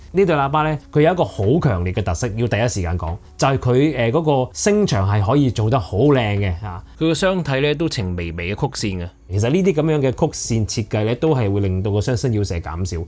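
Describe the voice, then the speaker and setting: A man's voice: mid-range voice, a little mellow. A man with a Hong Kong accent giving a conversational, explanatory product review as host. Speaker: audio equipment reviewer and host.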